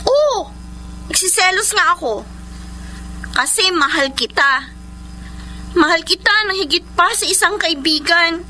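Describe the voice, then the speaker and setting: A woman's voice: very high-pitched, lively intonation, a little slow, pauses often, bright, forceful tone, a little hiss or hum, full character voice, low-quality recording. A woman playing a jealous, lovesick young woman in a radio drama, plaintive and emotional. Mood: hurt, pleading. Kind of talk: Filipino radio drama acting.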